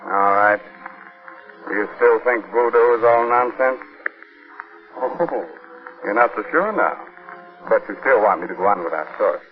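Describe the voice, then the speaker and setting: A man hosting and announcing a 1940s radio show in a theatrical, measured, sly manner. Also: lowish voice, expressive, faint music behind. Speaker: radio host and sponsor announcer. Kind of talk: 1940s radio scripted narration. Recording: tinny, band-limited 1940s radio recording.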